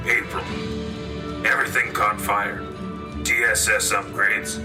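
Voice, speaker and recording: A man's voice: lowish voice, flat delivery, a little slow, recorded from a distance, over loud music, natural voice. A man playing a weary, resolute war correspondent giving a terse, solemn scripted radio dispatch in clipped phrases. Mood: grim.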